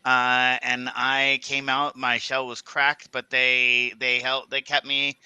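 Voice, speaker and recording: A man casually introducing his tabletop game character in a halting, slightly stammering way. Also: mid-range voice, completely flat delivery, pushed and bright, clear, resonant tone, no echo, lo-fi sound.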